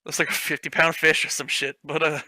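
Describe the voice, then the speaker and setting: Bright, sharp-toned man reading mockingly. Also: fairly high pitch, level tone, brisk, no room sound. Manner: mocking, giggly, swears freely. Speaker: reader of a fan fiction. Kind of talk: comedic dramatic reading with commentary.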